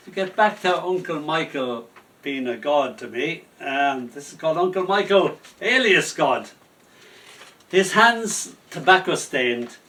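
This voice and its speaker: A man performing spoken word poetry, telling stories between poems in a slow, lyrical delivery that drops to soft whispers. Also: on the high side. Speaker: poet performing his poems. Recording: voice from a distance off the mic.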